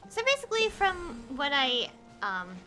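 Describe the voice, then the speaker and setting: A woman streaming games talks in a high, very lively voice with a bright edge. She chats casually and rambles, often breaking her thoughts into halting fragments. There is faint noise behind her. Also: soft background music. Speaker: game streamer. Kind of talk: casual livestream chat.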